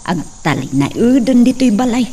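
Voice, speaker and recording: A woman's voice: on the high side, very animated, normal speed, dark tone. A middle-aged woman playing a fond, fussing mother who laughs often. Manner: fussing, affectionate, laughs a lot. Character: doting village mother eager to see her son married. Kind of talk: amateur drama acting. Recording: distant mic with faint insect noise.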